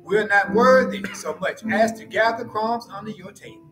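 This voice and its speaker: Pastor guy reciting communion prayers slow and solemn, roomy church sound, guitar music underneath. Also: high voice. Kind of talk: communion liturgy. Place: church sanctuary. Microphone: pulpit mic from far camera.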